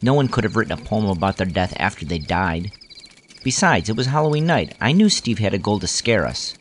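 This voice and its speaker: A man's voice: lowish voice, very animated, fairly quick, a little hiss or hum. A man reading scripted first-person story narration in a reminiscing style.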